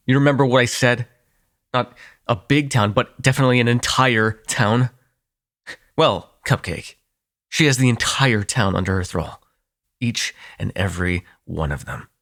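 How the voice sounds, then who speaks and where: A man playing a protective, sardonic male vampire in an ASMR audio roleplay, talking intimately and haltingly, with swearing and sighs. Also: medium pitch, lively intonation, a bit bright. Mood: anxious, explaining.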